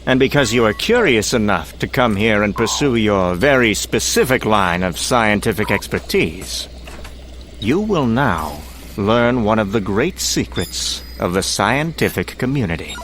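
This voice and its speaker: A man acting as a menacing, grandiose scientist-industrialist in a comic-book drama, speaking theatrically with deliberate pauses. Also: lowish voice, very animated, fairly bright tone, slight background noise. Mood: grave, boastful.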